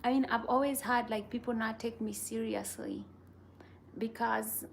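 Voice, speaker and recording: Woman, soft and slightly high voice, unhurried casual rambling, faint background noise. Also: fairly even intonation, vocal fry. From Kenya. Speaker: vlogger chatting with viewers. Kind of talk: casual chat.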